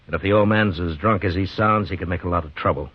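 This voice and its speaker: Deep-voiced, measured frontier marshal, vintage radio. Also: male voice, breathy edge, no echo. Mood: calm, firm. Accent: American. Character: calm, duty-bound frontier marshal, weary and thoughtful. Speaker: lead actor and narrator of the story. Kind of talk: 1950s radio western acting and narration. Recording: dull vintage 1950s radio recording, music.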